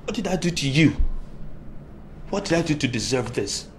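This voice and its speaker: An actor playing a frustrated young husband and son who pleads and breaks into angry outbursts. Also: male voice, on the high side, lively intonation, normal speed, a little hiss or hum, lightly acted. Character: frustrated young family man. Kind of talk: film drama acting.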